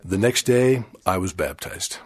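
An older man from Chile giving a formal church sermon in a measured, earnest way. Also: deep voice, lively intonation, husky. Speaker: church speaker.

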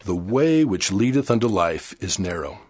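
Husky older man giving a sermon. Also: low-pitched, big pitch swings, normal speed, darkish tone. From Chile. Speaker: church speaker. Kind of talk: formal sermon.

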